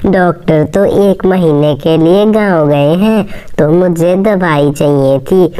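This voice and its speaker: A woman voicing a gentle, worried mother bird with a soothing, polite manner in a cartoon story. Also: fairly low pitch, slow pace, clear, resonant tone. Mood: worried, pleading. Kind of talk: Hindi moral-story cartoon dialogue.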